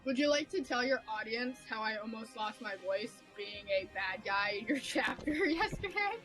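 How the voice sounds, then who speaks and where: Lively woman gabbing over a game, kinda high voice, swears a lot, game music behind. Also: moderate pace, poor audio. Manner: animated storyteller, swears casually. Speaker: co-host and co-author. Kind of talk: casual gameplay chat.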